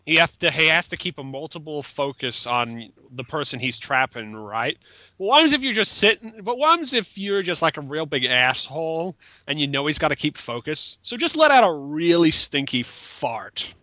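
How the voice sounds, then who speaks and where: A man's voice: fairly high pitch, sing-song, normal speed, pushed and bright, dry recording. A man co-commentating casually, arguing points with crude humour.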